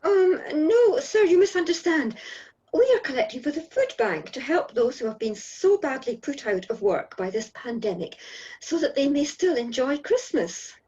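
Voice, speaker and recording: Woman acting very high-voiced earnest young charity collector. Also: sing-song, moderate pace, warm tone, muffled recording. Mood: polite, earnest. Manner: hesitant, pleading. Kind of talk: radio drama acting.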